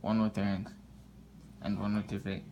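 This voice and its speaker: A woman's voice: rumbling low, little pitch movement, moderate pace, warm tone. A woman giving casual, brief replies as the judge of a challenge. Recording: very noisy home video sound.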